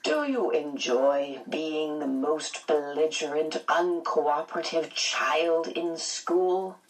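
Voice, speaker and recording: A stern male school principal, played by a woman narrator, talks low and measured. He pries and admonishes in audiobook style, sounding set back from the microphone. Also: distant mic, poor audio. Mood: stern, exasperated. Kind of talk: audiobook character voice.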